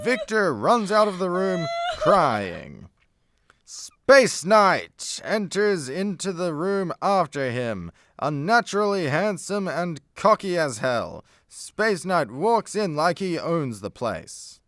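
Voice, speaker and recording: A man's voice: high voice, very animated, takes it slowly, fairly bright tone, no room sound, studio quality. A man narrating a scripted comedy radio play, setting each scene as storyteller.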